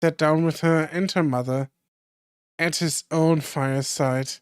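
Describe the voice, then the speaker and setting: A hoarse voice reading a classic tale aloud on stream, careful and unhurried with the odd slip. The sound is dry and close. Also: medium pitch, fairly even intonation, dry recording. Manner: slow careful reading with small slips. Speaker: streamer and reader.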